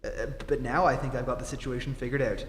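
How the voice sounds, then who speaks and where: A man's voice: medium pitch, fairly even intonation, quick pace, lightly acted. Earnest, determined male hero of a 1940s radio serial, played by a man, live in a noisy, reverberant hall. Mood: suspicious, firm. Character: earnest, determined adult male reporter who is secretly a superhero. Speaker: amateur theatre actor. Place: theatre stage before a live audience. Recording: echoey hall, live stage performance, noisy.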